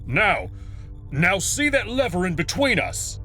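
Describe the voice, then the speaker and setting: A fierce male alien pilot, as a man puts him on, snaps out urgent orders in a raised, higher voice. It is a sci-fi story reading with soft music behind. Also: huge pitch swings, unhurried, exaggerated voice. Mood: shouting. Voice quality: shouting, urgent commands.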